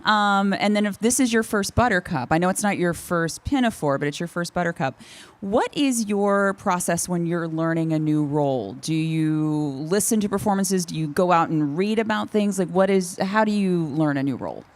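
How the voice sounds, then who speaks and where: A woman's voice: fairly low pitch, expressive, moderate pace. A woman hosting a casual interview, chatty and enthusiastic in the way she puts questions. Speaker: interviewer, host.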